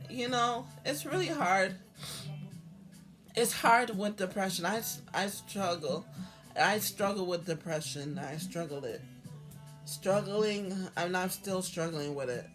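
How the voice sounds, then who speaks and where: A hoarse woman vlogging about her own life in a halting, earnest way, repeating herself and restarting often. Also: mid-range voice, a bit bright, faint music behind, low-quality recording. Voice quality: halting, repetitive, with many restarts. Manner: earnest, heartfelt. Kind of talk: personal talk to camera.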